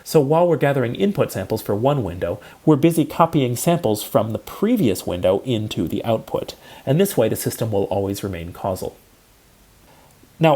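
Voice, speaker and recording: A man lecturing in a measured, methodical way, narrating a scripted technical lesson step by step. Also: medium pitch, darkish tone, slightly rough.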